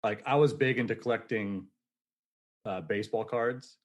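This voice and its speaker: Man, 40, podcast guest, lively and casual, quick to laugh. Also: on the low side, lo-fi sound. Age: 40s. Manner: energetic, laughs easily, casual. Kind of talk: casual storytelling interview.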